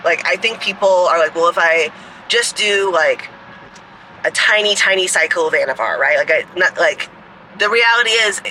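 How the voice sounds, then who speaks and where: Deep raspy-voiced young woman rambling. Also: sharp tone, slight background noise, rough recording. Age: 20s. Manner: rambling, heavy "like" fillers, swears freely. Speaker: fitness coach and creator. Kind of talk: casual vlog talk to camera.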